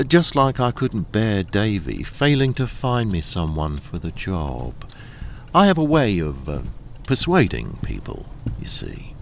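A male actor as a menacing smuggling boss from a radio crime drama. His low voice swoops widely as he patronizes and threatens with smooth politeness. There is faint noise behind him. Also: very animated, a little slow, exaggerated voice. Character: suave menacing smuggling boss, coolly blackmailing a deserter.

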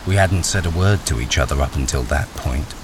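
A man's voice: very deep voice, fairly quick. A man playing a wary, hard-up army deserter who is the hero of a radio thriller. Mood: brooding narration. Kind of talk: radio thriller narration and dialogue. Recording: noisy, rain-soaked radio drama recording.

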